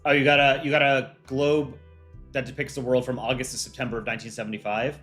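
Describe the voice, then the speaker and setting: A man's voice: medium pitch, little pitch movement, fast, fairly bright tone, faint music behind. A man hosting an art contest on a livestream, reading out viewer chat and answering it offhand in casual talk.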